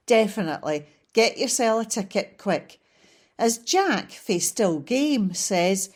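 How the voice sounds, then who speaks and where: Female volunteer reader, slow steady delivery, mid-pitched, newspaper articles. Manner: steady, measured reading. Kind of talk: reading newspaper articles aloud.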